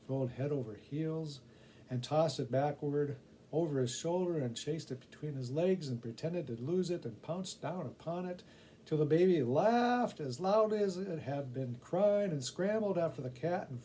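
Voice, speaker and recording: A man reading a children's story aloud with an expressive storyteller's emphasis. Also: on the high side, slight background noise, rough recording. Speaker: volunteer story reader.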